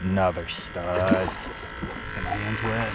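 Guy fishing off a boat, slow drawl, laughing, hyping every fish, windy outdoor noise. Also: low-pitched. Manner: excited, laughs often, hypes every catch. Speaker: fishing guide filming his charter. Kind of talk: casual on-camera fishing commentary. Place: small boat on open shallow flats, outdoors. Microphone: head-mounted action camera. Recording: wind and water noise outdoors.

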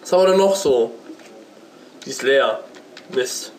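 A man's voice: mid-range voice, animated, measured pace, darkish tone, a little hiss or hum, low-quality recording. A man with a slight cold and sore throat, chatting and joking as he comments on his own gameplay. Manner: chatty, joking, talks to the game. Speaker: let's play gamer. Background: game music.

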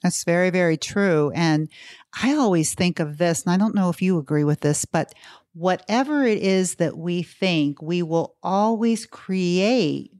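A woman in her fifties talking as a guest coach, her voice a little low for a woman. She speaks earnestly and thoughtfully and laughs easily. The sound is dry and close. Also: unhurried, darkish tone, no echo. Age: 50s. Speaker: guest, weight-loss coach. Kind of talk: conversational interview.

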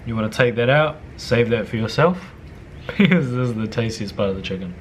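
A young man with a New Zealand accent, talking casually through a cooking tutorial in his home kitchen, slangy and swearing offhand, often dropping to low mutters. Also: lively intonation, a little fast, a little hiss or hum. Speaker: cooking vlogger.

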